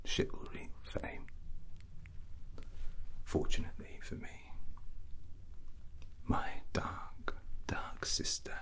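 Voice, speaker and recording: A man playing a drunken, proud and menacing rogue prince in an ASMR roleplay, whispering slowly and deliberately in ornate language with long pauses. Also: pushed and bright. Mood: brooding, boastful. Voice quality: low breathy whisper. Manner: slow, deliberate, pausing, ornate wording.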